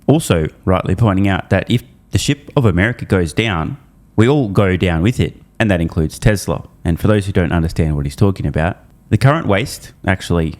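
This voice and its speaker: A man's voice: low-pitched, lively intonation, brisk, a little mellow. A man delivering a scripted recap commentary as a channel host, emphatic and opinionated, with casual, irreverent asides. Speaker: host of an investor news channel.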